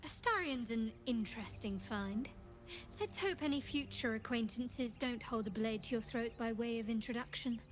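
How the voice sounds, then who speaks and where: An actress playing a guarded, wry adventuring companion in a video game, giving cautious remarks. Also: female voice, on the high side, normal speed, close to an everyday voice. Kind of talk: video game dialogue acting.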